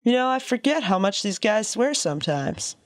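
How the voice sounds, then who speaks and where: A woman's voice: mid-range voice, very animated, normal speed, no room sound, lightly acted. Woman acting a wry wasteland leader, close-miked. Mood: amused, relaxed. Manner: casual, matter-of-fact. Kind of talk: post-apocalyptic audio drama acting.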